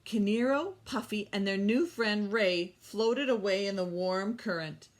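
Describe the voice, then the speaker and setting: A woman's voice: medium pitch, measured pace. A woman hosting a children's storytime, reading and teaching in simple, encouraging, repeated phrases for young listeners. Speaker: children's librarian storytime host. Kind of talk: children's storytime with read-aloud and instruction.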